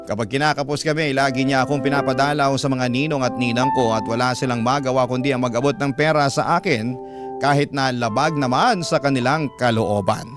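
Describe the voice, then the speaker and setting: A man with a Filipino accent narrates a scripted first-person story in radio drama style. His voice is medium in pitch, and a loud instrumental music bed plays beneath it.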